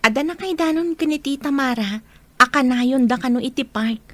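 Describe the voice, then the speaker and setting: An actress playing a caring, hesitant young niece in an Ilocano radio drama, with an Ilocano accent. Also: female voice, high-pitched, normal speed, fairly bright tone, no room sound. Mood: worried. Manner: hesitant, concerned. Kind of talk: Filipino radio drama acting.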